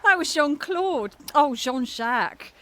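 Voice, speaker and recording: A woman's voice: high-pitched, animated, slow pace, bright, forceful tone, heavily acted. A woman playing a bawdy, world-weary, foul-mouthed singer with a volatile temper in an audio drama. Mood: hungover, bawdy. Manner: blunt, swearing, dramatic.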